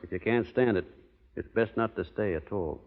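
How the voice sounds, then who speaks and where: Actor as a low-voiced, courteous cowboy, old radio. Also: male voice, normal speed, close to an everyday voice. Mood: earnest. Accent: American. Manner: plain, folksy, reserved. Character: plainspoken, courteous young cowboy of the Old West. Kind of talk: 1930s radio drama acting. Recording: old muffled radio broadcast, long hall reverb.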